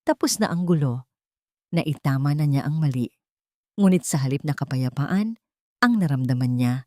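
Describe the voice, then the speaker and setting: A woman with a Filipino accent narrating a scripted dramatic love story in a solemn, measured storytelling manner. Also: deep voice, darkish tone, no room sound, clean studio sound.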